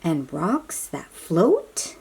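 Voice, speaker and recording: A woman with a soft, breathy voice reads a picture book aloud to young children, gently explaining and chatting to them as she goes. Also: on the low side, huge pitch swings, a little hiss or hum. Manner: slow, child-directed, encouraging questions and affirmations. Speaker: read-aloud storybook host.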